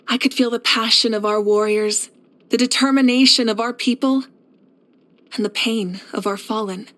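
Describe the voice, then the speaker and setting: A woman playing a confident, warm young warrior leader in a video game, speaking in a poised, measured, earnest way. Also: a little high, natural voice. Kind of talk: scripted video game character voice lines.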